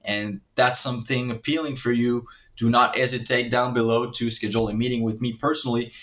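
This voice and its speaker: French Canadian man, mid pitch, halting sales pitch over dull lo-fi audio. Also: fairly even intonation, a bit bright. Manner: hesitant, many fillers and restarts. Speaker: marketing agency owner pitching services.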